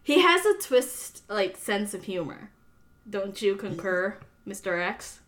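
Woman chatting on a casual podcast, english is her second language, medium pitch, informal banter. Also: very animated, slow, a bit bright. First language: not English. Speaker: podcast host.

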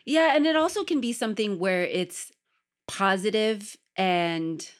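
A woman's voice: mid-range voice, a little slow. A woman journalist, a podcast guest, explaining things in an affirming, conversational way. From Puerto Rican heritage.

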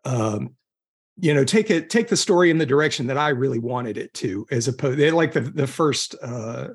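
A man from southern California casually telling stories as a guest author, halting and groping for words as he goes. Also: medium pitch, expressive, breathy edge. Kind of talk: casual conversational storytelling.

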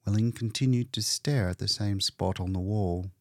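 A man reading literary fiction as an audiobook narrator, in a measured, deliberate and solemn storytelling manner. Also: lowish voice, dry recording, studio quality.

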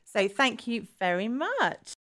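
A woman's voice: a little high, big pitch swings, takes it slowly, over music. Woman, podcast host, singing teacher, friendly, earnest. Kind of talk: casual interview chat.

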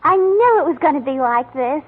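A woman's voice: high voice, animated, fairly quick, slight background noise. An actress playing a flustered, ditzy young female secretary who garbles her words, in a 1940s radio drama. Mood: gushing. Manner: garbled words, fluttery and gushing. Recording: tinny old radio broadcast.

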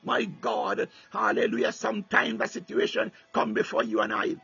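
A man's voice: high-pitched, big pitch swings, normal speed, gravelly, pushed and bright, breathy edge, dry recording. A man preaching a fervent sermon with prayer, repeating "my God" between phrases and breaking into tongues. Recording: dull lo-fi recording.

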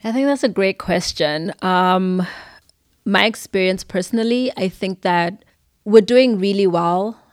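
A woman's voice: mid-range voice, unhurried, no echo, clean studio sound. A young adult woman from Zimbabwe with a Zimbabwean accent, talking thoughtfully as a podcast guest. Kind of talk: casual conversation.

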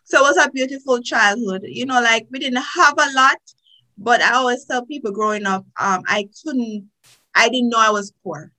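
A woman's voice: fairly high pitch, normal speed, pushed and bright, rough recording. A woman with a Jamaican accent, a lawyer guest on a podcast, telling stories in a chatty way with many fillers. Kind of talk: podcast interview.

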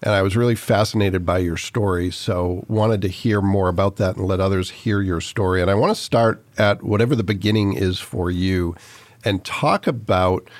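A man's voice: low-pitched, dry recording. A man hosts a podcast interview. He talks in a friendly, conversational way, and the dry close-mic sound has almost no room to it. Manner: friendly, asks questions with quick agreeing replies. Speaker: podcast host.

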